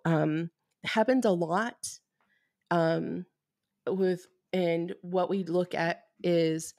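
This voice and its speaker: A woman with a notably low, deep speaking voice, recorded close and dry. She talks as a Reiki fertility healer in a podcast interview and explains things steadily, plainly and bluntly, with many "um"s along the way. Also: no room sound. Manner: steady, explanatory, blunt at times, with frequent fillers.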